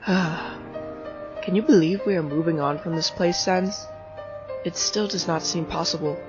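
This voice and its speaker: A woman's voice: fairly low pitch, unhurried, lo-fi sound, music in the background, close to an everyday voice. Woman voicing Grillby, a polite fire-monster bartender. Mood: wistful. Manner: polite, formal phrasing with no contractions. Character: formal, gentle adult male fire-monster bartender. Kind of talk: fan comic dub.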